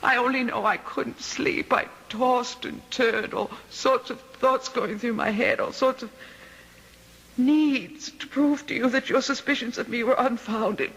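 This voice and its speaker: An actress playing a distraught servant woman in a radio drama, halting and pleading with melodramatic delivery. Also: fairly high pitch, fairly bright tone, breathy edge, in a large hall, full character voice, poor audio. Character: distraught servant woman protesting her innocence. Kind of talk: old-time radio drama acting.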